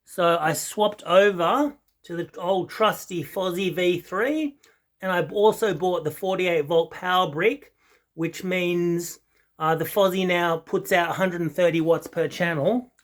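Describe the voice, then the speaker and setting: Australian man, high voice, bright forceful tone, casual hobbyist reviewer, rambling, halting delivery, enthusiastic. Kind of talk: casual unscripted product chat. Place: small carpet-and-wood home listening room with foam panels.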